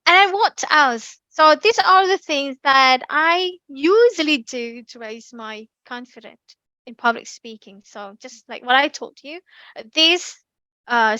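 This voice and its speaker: A young woman with an Indonesian accent, giving a lively online class talk with many ums and restarts. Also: high-pitched, dry recording, low-quality recording. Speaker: community speaking presenter. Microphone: computer mic on a video call.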